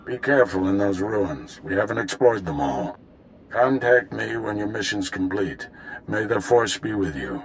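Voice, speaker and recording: A man's voice: fairly low pitch, animated, normal speed, creaky, slight background noise, poor audio. An actor playing a seasoned warrior-monk mentor in a video game, businesslike and authoritative as he gives orders. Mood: grave, commanding. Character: seasoned, steady Jedi master mentor giving orders. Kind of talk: video game drama acting.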